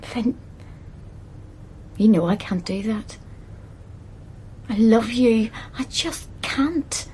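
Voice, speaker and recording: Actress as an anguished young woman, high voice. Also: expressive, very slow, pauses often, darkish tone, a little hiss or hum. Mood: hurt, pleading. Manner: pleading, defensive, emotionally strained. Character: anguished, protective young woman caring for her dying partner. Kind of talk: radio play acting.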